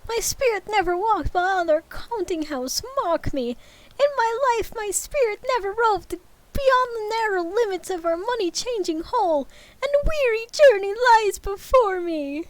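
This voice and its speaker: A woman voicing a mournful, weary old male ghost bound in chains, declaiming in a high, wailing way while reading a storybook aloud. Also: animated, moderate pace, no echo. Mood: lamenting.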